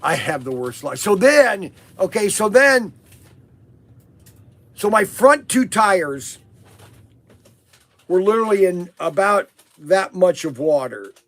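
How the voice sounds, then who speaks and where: A man hosts a relaxed live podcast, his voice hoarse and gravelly from strain. He sits a little higher than most men and talks in loose, rambling stretches, stalling on fillers and starting sentences over. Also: slow pace, long pauses. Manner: rambling with many fillers and restarts. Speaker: host of a toy business live podcast. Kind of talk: casual livestream storytelling.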